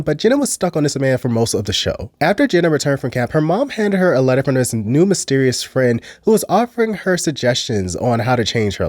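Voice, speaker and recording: A man's voice: mid-range voice, no room sound. Sassy guy yapping about an old tv show, voice bounces all over, a bit stuffy from a cold, dry sound. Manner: sassy, chatty, animated. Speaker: commentary channel host. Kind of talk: casual recap commentary.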